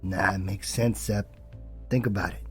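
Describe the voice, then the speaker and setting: An actor playing an excitable, earnest police detective with slangy, streetwise phrasing in a noir audio drama. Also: male voice, low voice, moderate pace, faint music behind. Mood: reasoning, earnest.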